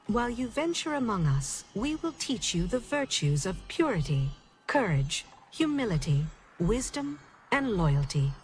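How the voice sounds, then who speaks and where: Serene celestial instructor, ceremonial, encouraging, very varied pitch, faint noise, game acting. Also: female voice, vocal fry, a little mellow. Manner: ceremonial, reassuring. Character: serene, encouraging female celestial teacher addressing new aspirants. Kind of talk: scripted video game speech.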